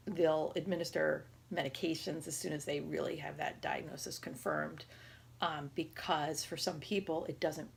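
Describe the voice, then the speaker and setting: A woman around forty with a raspy voice, talking casually and haltingly in a personal health vlog. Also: deep voice. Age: 30s or 40s. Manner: halting, rambling, conversational. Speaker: patient video diarist.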